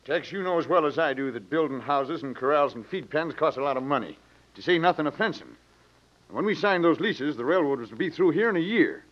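An actor playing a plain-spoken, aggrieved rancher with a folksy American Western accent in an old radio drama. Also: male voice, a little high, expressive, a little fast, natural voice. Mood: insistent. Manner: folksy, earnest. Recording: muffled old radio recording.

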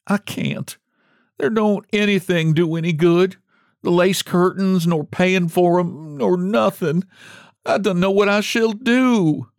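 A man's voice: mid-range voice, big pitch swings, normal speed, dry recording, clean studio sound, voice pushed up, natural voice. A man voicing a poor, remorseful old countrywoman who speaks in a rustic country dialect. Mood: despairing.

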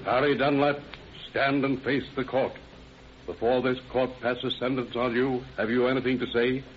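An actor playing a stern, solemn judge, formal, measured and oratorical, in 1930s radio style. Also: male voice, mid-range voice, little pitch movement, natural voice. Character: stern, solemn male judge passing sentence. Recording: dull, hissy old radio recording.

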